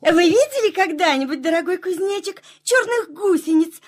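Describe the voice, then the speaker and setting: Very high-voiced, dainty butterfly. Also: female voice, expressive, normal speed. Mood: gentle, explaining. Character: shy, sensitive, slightly vain female mourning-cloak butterfly. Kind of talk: children's audio play acting.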